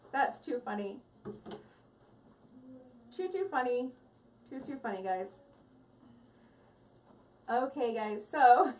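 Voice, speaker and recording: A woman's voice: high voice, far from the mic, slight background noise. A woman hosting a live crafting stream, chatting with viewers in a rambling, giggly way at her craft table at home. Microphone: device mic set back from the table.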